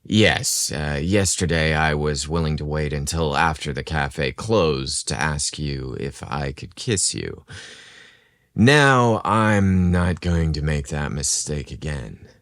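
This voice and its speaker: A very low-voiced, seductive barista lover in a romance storybook, acted out by a male narrator. He speaks slowly and smoothly, with intense longing. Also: animated, fairly bright tone, dry recording, clean studio sound, exaggerated voice. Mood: teasing, confident. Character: sexy, intense Italian male barista in love. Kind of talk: romantic audio storybook acting.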